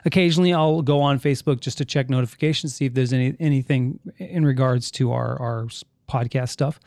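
A man's voice: medium pitch, steady tone, brisk, a little mellow, dry recording, studio quality. A man hosting a casual live podcast, rambling and self-correcting as he reads listener chat comments aloud. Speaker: podcast host. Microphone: studio mic with headphones.

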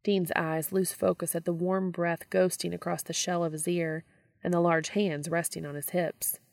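A woman reading fan fiction aloud in expressive storytelling narration. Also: lowish voice, normal speed, vocal fry, no echo, studio quality.

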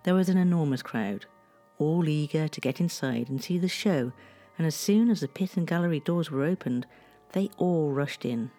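A woman hosting a history podcast, telling past events in a measured, scripted storytelling delivery. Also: sits low, mellow, soft background music.

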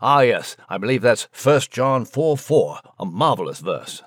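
A genteel little scholar of a mouse, acted out by a man, with very animated, swooping intonation. He talks thoughtfully and enthusiastically in old-fashioned, erudite phrases, like a storybook character holding a devotional chat. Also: medium pitch, a little slow. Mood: pleased, appreciative. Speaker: co-host in character. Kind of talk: conversational devotional discussion in character.

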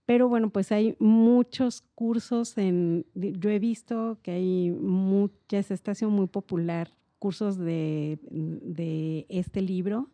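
A woman's voice: mid-range voice, steady tone, slow pace, hardly any pauses, mellow, dry recording. A middle-aged woman with a Mexican accent, explaining things in a reflective, meandering and informal conversational way. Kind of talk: casual conversational explanation on a podcast.

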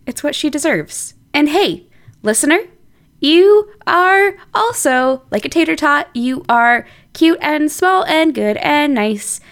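Woman doing her little podcast segment, kinda high voice, really animated, chatty scripted read. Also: takes it slowly. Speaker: podcast producer with a regular segment.

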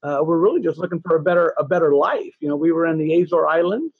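A talkative man in his sixties, chatting as a guest with a self-deprecating, rambling manner. His voice sits a little high for a man, in a dry room with no echo. The recording sounds dull and lo-fi. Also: expressive, fast talker, husky. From California, USA. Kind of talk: casual interview chat.

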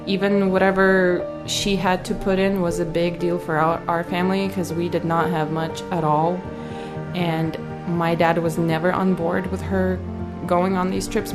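A woman from Ukraine in her twenties telling a personal story, halting and searching for words. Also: fairly low pitch. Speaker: guest sharing a story.

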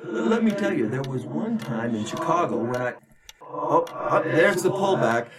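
A man's voice: a little high, big pitch swings, a little slow, very noisy. A man playing the ghost of a wry, slangy old 1920s sportswriter in an audio drama, with a theatrical delivery. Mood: jovial. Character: ghost of a wisecracking, worldly old sportswriter, fond of booze.

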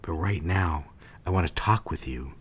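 Man playing deep voiced rich gent lover, smitten and secretive, coaxing and intimate, old romance drama, bit of background noise. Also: normal speed, lightly acted. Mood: tender, coaxing. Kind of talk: romantic period audio drama acting.

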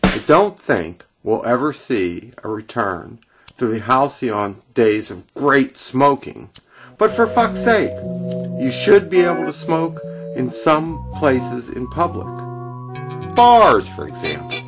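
Man in animated, emphatic monologue over guitar. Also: medium pitch, slow pace. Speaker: radio presenter. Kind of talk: opinionated scripted radio monologue. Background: acoustic guitar music.

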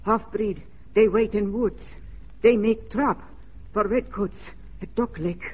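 High, husky, furtive Native informant. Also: male voice, exaggerated voice. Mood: urgent, furtive. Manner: halting broken English. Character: furtive Native informant trading warning for help. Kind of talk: 1940s radio acting. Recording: dull, muffled 1940s radio recording.

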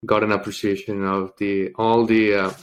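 A man from India, an interview guest, telling his life story in a halting way with many fillers and restarts. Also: lowish voice, little pitch movement, dull audio.

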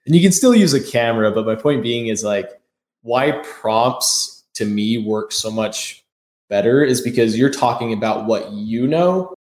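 A man's voice: medium pitch, lively intonation, measured pace, a little mellow, roomy sound. A man hosting a podcast interview, chatting casually and upbeat, with plenty of filler "like"s. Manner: upbeat, says "like" often. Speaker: podcast host.

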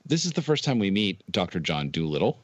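A man's voice: deep voice, very animated, brisk, no room sound. A man co-hosting a podcast, bantering casually and asking joking, mock-formal questions. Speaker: podcast co-host. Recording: noticeable background noise.

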